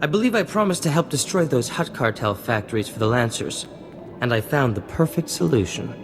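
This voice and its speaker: An actor playing a suave, boastful and flirtatious hacker in a video game, smooth-talking and theatrical. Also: male voice, medium pitch, expressive, fairly quick, music in the background, lightly acted. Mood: self-assured. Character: suave, boastful male slicer, flirtatious and clever. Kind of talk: video game dialogue acting.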